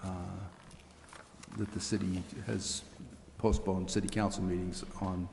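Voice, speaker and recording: A man answering as a city official at a public meeting, careful, measured and hesitant. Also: low voice, little pitch movement, gravelly, a little mellow. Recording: echoey meeting room with background noise.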